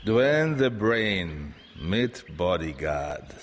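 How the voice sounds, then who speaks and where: An actor playing a taunting street criminal in a radio crime drama, drawling mockingly. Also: male voice, low voice, very animated, drawn-out words, heavily acted. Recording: long reverberant hall, faint noise.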